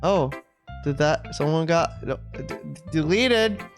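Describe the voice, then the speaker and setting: A game streamer giving casual, halting live commentary and reading game text aloud expressively. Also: mid-range voice, animated. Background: music.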